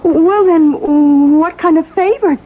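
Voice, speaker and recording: An actress in a classic radio drama playing a kindly, naive but plucky crook's wife with a chatty, earnest, colloquial manner. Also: female voice, extremely high pitch, takes it slowly. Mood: distressed, sympathetic. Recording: lo-fi vintage radio broadcast.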